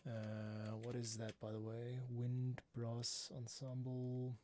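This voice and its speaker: A man's voice: medium pitch, monotone, slow pace, mellow, a little hiss or hum. A man composing on a livestream, giving casual commentary and half talking to himself as he works. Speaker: composer livestreaming his work. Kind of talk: casual think-aloud commentary. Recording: music over the voice. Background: instrumental music being composed.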